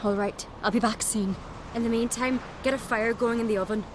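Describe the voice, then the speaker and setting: An actress voicing a bold, confident young noblewoman who talks briskly and teasingly. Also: a little high, steady tone, natural voice. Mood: determined. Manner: brisk, teasing and sure of herself.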